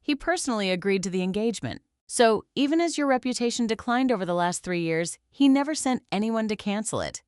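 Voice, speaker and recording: A woman voicing a gentle, clever teenage girl in a fantasy audiobook, calm and quietly teasing. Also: on the high side, a little fast, hardly any pauses, clear, resonant tone, no echo, clean studio sound, voice pushed up, close to an everyday voice. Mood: calm explaining. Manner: soft, calm, composed. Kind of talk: audiobook fantasy character dialogue.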